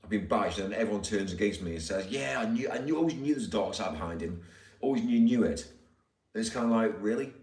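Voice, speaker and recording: A man with a deep voice, ranting in rambling, indignant casual chat as a live streamer. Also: expressive, quick pace, a little mellow. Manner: rambling, indignant, repeats himself. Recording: roomy, distant mic.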